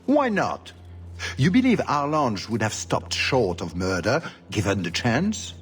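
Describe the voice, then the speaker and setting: Suave, wildly expressive duke. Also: male voice, medium pitch, a little slow, faint music behind. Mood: amused, cold. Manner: urbane, flowery, mockingly courteous. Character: suave, theatrical, sardonic Orlesian duke hosting festivities. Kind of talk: video game cutscene acting.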